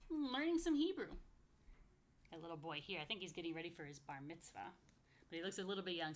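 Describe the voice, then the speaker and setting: An American woman reading a picture book aloud to young children, with chatty asides and playful questions to her listeners. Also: mid-range voice. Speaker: rabbi, story time host for young children.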